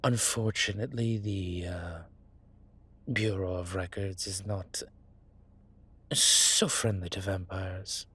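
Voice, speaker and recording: A man as a low, husky, suave vampire. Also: fairly even intonation, sharp tone, full character voice. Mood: wry. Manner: measured, wry, formal wording. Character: suave, sentimental male vampire, tired and scheming. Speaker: voice actor in an audio roleplay. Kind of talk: scripted intimate audio roleplay, one side of a conversation.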